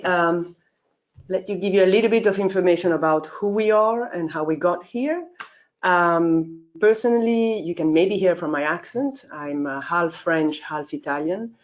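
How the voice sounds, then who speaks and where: A woman in her fifties, a course leader, introducing a course informally, with many fillers and sudden leaps in pitch. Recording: dull lo-fi audio.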